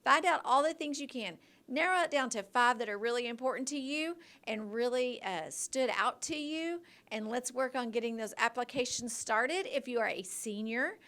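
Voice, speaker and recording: Woman, higher-pitched voice, school counselor, encouraging, gives direct advice, school news segment. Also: normal speed, hardly any pauses, a bit bright.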